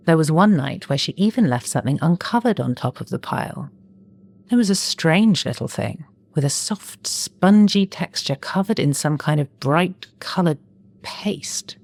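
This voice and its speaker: Low, creaky prowling river monster. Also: female voice, a little mellow, heavily acted. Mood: fussy, confiding. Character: prowling scavenging river monster, contented and oddly naive. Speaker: narrator. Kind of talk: scripted first-person horror audio story.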